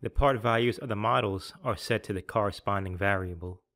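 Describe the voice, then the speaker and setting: A man reading scripted instructional narration in a measured, clearly enunciated, explanatory way. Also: on the low side, fairly bright tone. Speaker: software tutorial narrator.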